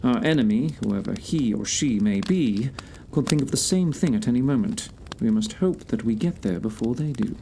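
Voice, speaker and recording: A man acts a confident gentleman detective in an audio mystery play. His delivery is authoritative and clipped, swinging widely in pitch. There is faint noise behind the voice. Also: lively intonation, gravelly. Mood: deliberate, reasoning. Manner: clipped, deliberate, authoritative, old-fashioned phrasing. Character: brisk, shrewd gentleman detective, confident and commanding. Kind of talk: audio theatre detective drama.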